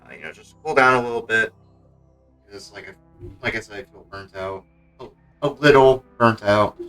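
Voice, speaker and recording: A man's voice: mid-range voice, normal speed, fairly bright tone, clear, resonant tone, faint music behind. A man chatting casually and a little hesitantly as he streams a game, in an informal, rambling way. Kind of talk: casual livestream gaming chat.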